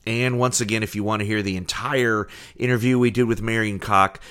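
Low-voiced male radio show host. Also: fairly even intonation, brisk, a bit bright, slight background noise. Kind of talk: presenter's announcement to listeners.

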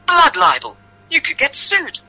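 A woman plays a defiant, disguised outcast in a storybook reading. The voice is sharp and lively, protesting with indignation. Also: high-pitched, measured pace, soft background music, voice pushed up, exaggerated voice, poor audio. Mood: calm defiance. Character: defiant disguised outcast defending their slandered people.

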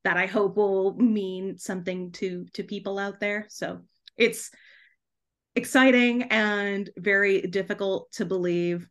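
A woman's voice: medium pitch, fairly even intonation, measured pace. Chatty, giggly woman in casual conversation. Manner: chatty, giggly, effusive, frequent "like" and "kind of". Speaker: guest author and podcast host. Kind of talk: casual podcast interview chat.

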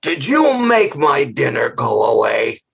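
A man voicing a greedy giant ogress who eats children, speaking slowly and gruffly with a low, menacing voice. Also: big pitch swings, a bit bright, full character voice.

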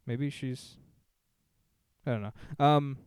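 A man co-hosting a podcast, casually reading out listener stories with lots of fillers and trailing pauses. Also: mid-range voice, darkish tone, clean tone, no echo. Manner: rambling, hesitant, many fillers. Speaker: podcast co-host.

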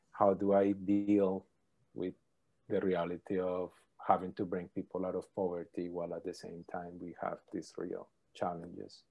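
A middle-aged man, a professor answering interview questions thoughtfully, pausing often with ums. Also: low voice, darkish tone, rough recording. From Colombia. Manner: thoughtful, hesitant with many ums. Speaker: professor, podcast guest.

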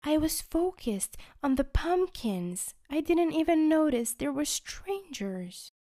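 A dreamy, sweet candy girl who speaks softly and apologetically, her voice pitched up high, as a woman reads her lines. She takes her time over the words. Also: big pitch swings, takes it slowly, full character voice. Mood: apologetic.

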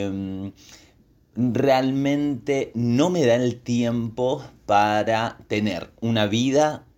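Man, lowish pitch, very slow pace, podcast teacher, deliberate explanatory delivery. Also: a little mellow. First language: Spanish. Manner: deliberate, pausing to explain words. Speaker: podcast host and Spanish teacher. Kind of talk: slow explanatory talk for language learners.

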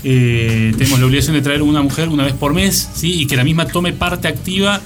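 Argentine guy radio host, higher voice, casual n a bit mock formal, guitar behind. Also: brisk. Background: guitar music.

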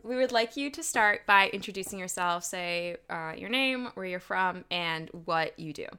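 A woman's voice: on the low side, expressive, moderate pace, pushed and bright, smooth. A woman co-hosting a podcast, chatting in a playful, giggly way. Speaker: podcast co-host. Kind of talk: casual podcast chat.

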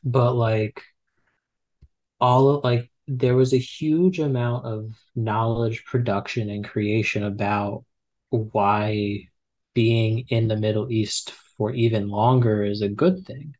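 A man's voice: lowish voice, steady tone, takes it slowly, darkish tone, dull audio. A man in his twenties, a guest in a casual conversation, talking with many fillers and restarts. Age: 20s. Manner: hesitant, full of fillers and restarts. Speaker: podcast guest.

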